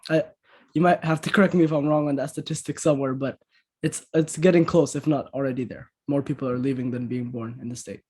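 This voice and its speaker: A young man, a podcast guest, speaking in a measured, hesitant way with fillers. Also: mid-range voice, steady tone, a little mellow, breathy edge. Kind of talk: casual interview chat.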